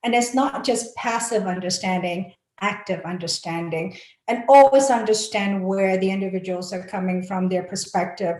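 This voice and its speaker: A woman's voice: mid-range voice, moderate pace, darkish tone. Woman panelist, motivational and sincere, mid-range voice, roomy echo on the line. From Malaysia. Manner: earnest and encouraging, with frequent fillers and restarts. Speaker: panel speaker. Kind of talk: motivational talk on a webinar panel. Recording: echoey room.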